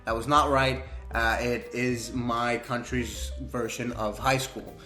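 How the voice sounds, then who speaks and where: A man's voice: medium pitch, little pitch movement, slow, sharp tone, faint music behind. Rambling Danish-accented young man, echoey room. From Denmark. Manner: chatty, rambling, frequent "man". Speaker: YouTuber. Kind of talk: casual reaction vlog. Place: small indoor room at a desk.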